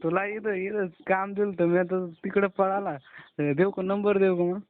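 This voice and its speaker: A man's voice: high-pitched, fairly even intonation, normal speed, dry recording. A young man, chatting colloquially and a bit grumblingly with a friend on a phone call. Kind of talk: casual phone chat with a friend. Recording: narrow, tinny phone call recording.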